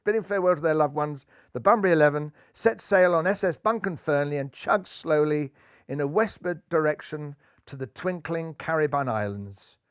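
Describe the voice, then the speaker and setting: An older man reading a children's picture book aloud in a lively way, seated indoors with a clip-on mic. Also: fairly high pitch, moderate pace, clear, resonant tone, no room sound, clean studio sound. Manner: lively, does voices for characters. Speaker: storybook reader. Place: indoor room full of cuddly toys.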